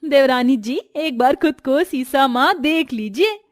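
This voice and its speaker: A woman's voice: sits high, expressive, a little slow, no echo, clean studio sound, pitched above the performer's own voice. A woman voicing a sweet, humble village daughter-in-law in a family story, eager and deferential, with a rustic Bhojpuri accent. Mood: caring. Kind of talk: Hindi family storybook voice acting.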